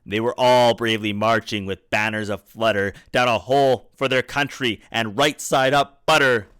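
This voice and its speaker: Dad reading a rhyming kids book out loud, mid pitched voice, expressive, dry close mic. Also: male voice, normal speed, clear, resonant tone, no room sound. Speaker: father reading a children's book aloud. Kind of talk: storybook read-aloud in rhyme. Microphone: USB desktop microphone.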